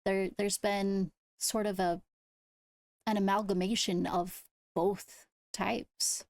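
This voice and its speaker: Woman, medium pitch, dry close recording, deliberative, improvised roleplay leader. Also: little pitch movement, takes it slowly, a little mellow, no room sound. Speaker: role-player leading a court meeting. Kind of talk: improvised in-character roleplay talk.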